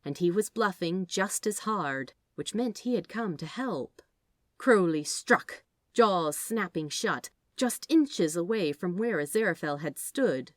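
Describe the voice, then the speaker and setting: A woman's voice: lowish voice, animated, a little slow, dry recording, clean studio sound. A woman reading fiction aloud as a narrator in a scripted storytelling style.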